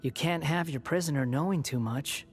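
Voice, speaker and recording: A man's voice: a little high, normal speed, soft background music, natural voice. A man voicing a haughty young antihero in a video game, sarcastic, curt and dismissive. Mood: sarcastic, irritated. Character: sardonic, haughty young male puppet antihero.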